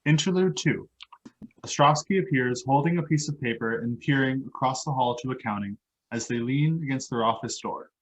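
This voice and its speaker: A man's voice: medium pitch, normal speed, a little hiss or hum, rough recording. A man reading stage directions as scripted narration for a play.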